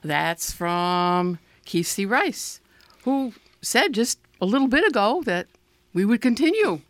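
A woman hosts a casual radio interview in a dry studio. She talks in a chatty, informal way, with a medium-pitched voice. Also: sing-song, slow, no echo. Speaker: radio host.

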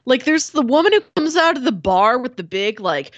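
A woman's voice: sits high, big pitch swings, moderate pace, no echo, dull audio. A woman co-hosting a podcast in casual chat, talking informally and descriptively with wry, playful asides. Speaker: podcast co-host discussing a film.

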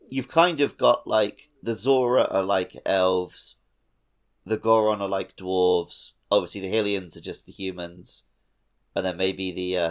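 A man co-hosting a gaming podcast, chatting casually and going off on tangents. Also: low voice. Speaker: podcast co-host.

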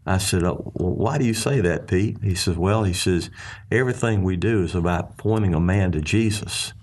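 A very low, gravelly man's voice with a Southern US accent and a husky edge. He talks in an earnest, folksy way and often catches himself and starts a phrase over. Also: moderate pace, darkish tone. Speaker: ministry leader. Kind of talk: casual faith discussion.

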